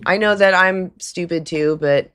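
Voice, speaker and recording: A woman with a low, raspy, hoarse voice hosts a live call-in stream. She speaks in a loose, chatty way, laughs between points and answers her chat as she goes. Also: a little slow, a bit bright. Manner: chatty, laughs often, talks back to chat. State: hoarse throat. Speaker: livestream call-in host. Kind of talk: casual livestream chat with callers.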